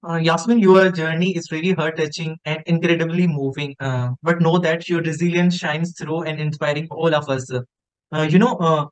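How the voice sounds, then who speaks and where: A man's voice: on the high side, steady tone, moderate pace, clear, resonant tone, no room sound, poor audio. A man hosting a podcast interview, asking formal questions with hesitant fillers. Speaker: podcast host.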